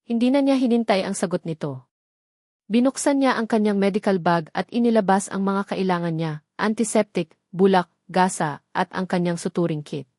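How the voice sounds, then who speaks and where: A woman's voice: medium pitch, moderate pace, dark tone, no room sound, studio quality. Woman narrating a dramatic romance. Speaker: story narrator.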